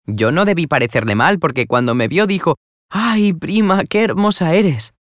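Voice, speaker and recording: A man voicing a gentle, loving young lady who pleads affectionately with her cousin. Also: on the high side, expressive, normal speed, dry recording, studio quality, pitched above the performer's own voice, lightly acted. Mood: tender.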